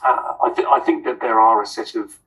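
A man's voice: on the low side, fairly even intonation, brisk, a bit bright, distant mic. A man, an author on a panel, answering hesitantly with many ums and restarts.

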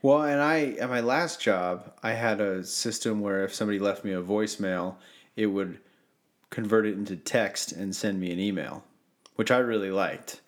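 Male podcast co-host, lowish voice, informal conversational delivery. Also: moderate pace. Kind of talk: casual chat.

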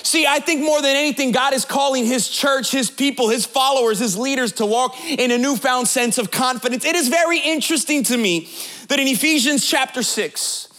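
A pastor in his late thirties preaches with a very high, bright, ringing voice. He jokes with the congregation and talks to them directly, then builds his points through repetition and sing-song emphasis. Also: moderate pace. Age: 30s. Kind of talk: sermon.

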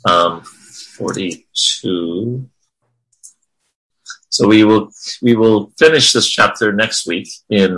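A man's voice: fairly low pitch, bright, forceful tone, low-quality recording. A man leading an informal religious class in an encouraging, affirming way. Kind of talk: informal spiritual class discussion.

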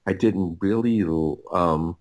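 A middle-aged man telling stories casually as an interview guest, often doubling back and breaking off his phrases. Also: sits low. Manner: halting, repetitive, rambling anecdotes with self-interrupted phrases. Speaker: podcast guest, writer and audio producer.

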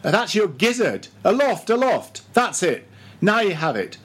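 A man's voice: high-pitched, very animated, measured pace, fairly bright tone, breathy edge, voice pushed up, full character voice. A man voicing a bossy, mocking ship's mate who badgers and gives orders in a storybook reading. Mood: mock-solemn, bossy. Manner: commanding, interrogating, mock-solemn.

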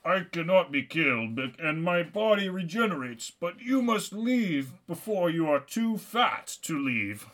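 Man as immortal captive Santa, comic storytelling, grim, urgent, higher-pitched, slow delivery. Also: very animated, a bit bright. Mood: urgent advice.

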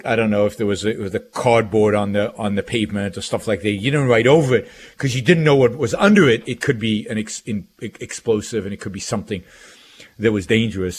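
A man in his sixties, from Israel, hosting his own radio show and talking through memories in a halting, reflective way with many restarts. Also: medium pitch. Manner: halting, frequent restarts and repeated phrases; reflective, emphatic repetition. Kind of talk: personal monologue on radio.

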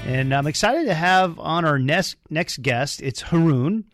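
A man's voice: on the high side, expressive, measured pace, over loud music. A man hosting an interview podcast, putting questions and introducing guests. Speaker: podcast host.

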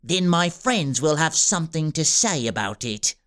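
A smug young villain who bosses an army of squirrels and talks with cool, self-assured menace, as a man narrator puts him on. The voice sits higher than most men's. The storybook reading gives him a calm, threatening edge. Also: moderate pace. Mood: menacing.

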